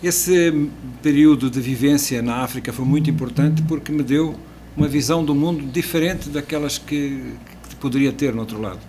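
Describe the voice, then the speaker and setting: A man's voice: fairly high pitch, moderate pace, warm tone, a little hiss or hum, poor audio. Man in his sixties with a European Portuguese accent. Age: 60s. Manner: storytelling, with restarts and hesitant fillers. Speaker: guest. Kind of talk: casual podcast chat.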